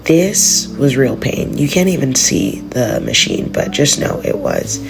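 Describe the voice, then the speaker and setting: A congested woman giving a relaxed, rambling voice-over about her gym session. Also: very deep voice, a little slow, gravelly. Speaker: vlogger. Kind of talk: casual voice-over narration.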